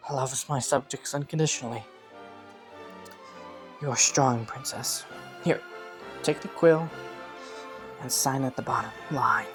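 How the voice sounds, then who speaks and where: Young ambitious prince played by a man for romantic fantasy roleplay: lighter pitch, courtly diction, gently hesitant, scheming but earnest, faint music underneath. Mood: gracious, soft. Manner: formal courtly phrasing, softly hesitant at times. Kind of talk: romantic fantasy audio roleplay addressed to listener.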